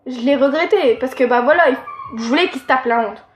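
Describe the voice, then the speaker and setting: Nasal teen girl, high and echoey. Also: a little fast, a little hiss or hum. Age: teens. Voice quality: nasal from allergies. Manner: rambling, frank, lots of fillers. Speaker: vlogger giving advice. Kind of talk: casual advice monologue.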